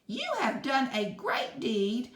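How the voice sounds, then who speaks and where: A woman voicing a tiny, proud queen of the field mice in a squeaky, very high voice, in a storybook reading. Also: sing-song, slow pace. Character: tiny regal female mouse queen, proud. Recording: echoey room.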